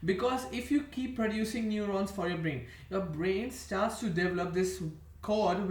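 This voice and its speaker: Indian man, high voice, meandering self-correcting vlog talk, roomy echo off-mic. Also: distant mic. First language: Indian languages. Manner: rambling, meandering, restarts and corrects himself often. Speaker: vlogger. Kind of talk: rambling monologue to camera.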